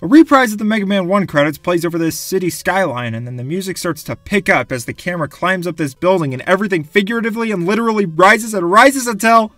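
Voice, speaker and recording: A young man giving scripted, wry comic narration about video games in a casual, animated way. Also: sits high, fast talker, few pauses, no room sound. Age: young adult. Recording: dry voice-over with music behind.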